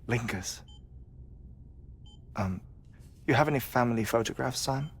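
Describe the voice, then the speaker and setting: An actor playing a courteous, melancholy middle-aged male scientist in a video game, with a measured, reflective and articulate delivery. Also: medium pitch, slight background noise. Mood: resigned, melancholy. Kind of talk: cinematic video game acting.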